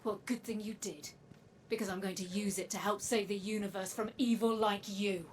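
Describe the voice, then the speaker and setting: A woman's voice: medium pitch, fairly even intonation, moderate pace, slight background noise, close to an everyday voice. An actress playing a guarded, earnest robot woman, a protective sister, in a video game drama. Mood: defiant. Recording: prominent music under the voice.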